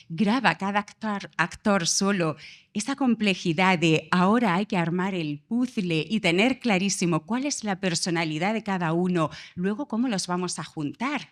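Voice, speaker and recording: A woman talking unscripted on a promotional panel as a director, effusive and telling stories. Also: mid-range voice. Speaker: director on a panel presenting an audio drama.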